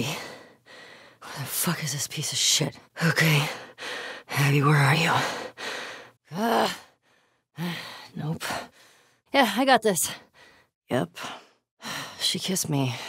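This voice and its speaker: A woman's voice: low-pitched, husky, no echo, clean studio sound, heavily acted. An actress playing a tough, sardonic young American woman survivor in a video game, naturalistic and clipped, often speaking under her breath. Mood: cold, menacing.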